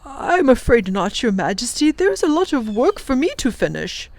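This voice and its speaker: A woman's voice: fairly high pitch, very animated, moderate pace, voice pushed up. Woman reading as a wise old professor. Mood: reassuring. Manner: warm, reassuring, firm. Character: kind wise elderly male professor.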